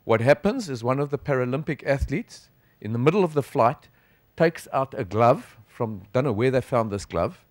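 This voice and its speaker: A man's voice: medium pitch, dry recording. Middle-aged south african guy lecturing from a podium, chatty and emphatic, dry sound. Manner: conversational, animated with gestures, emphatic pauses. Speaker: sports physician lecturer. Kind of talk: conference lecture. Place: conference hall stage at a lectern. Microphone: lectern microphone.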